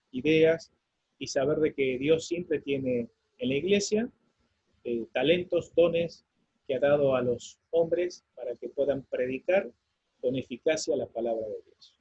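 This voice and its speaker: A man with an Argentine accent sending greetings on a church lesson program, pausing now and then. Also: mid-range voice, vocal fry, warm tone, slightly rough. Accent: Argentine Spanish. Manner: halting, sends greetings place by place. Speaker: pastor. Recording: dull lo-fi sound.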